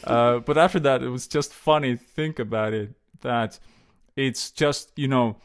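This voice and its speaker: Man, mid-pitched voice, casual talk, halting delivery, restarts often, relaxed storyteller. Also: smooth. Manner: hesitant, many fillers and restarts. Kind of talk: casual conversation.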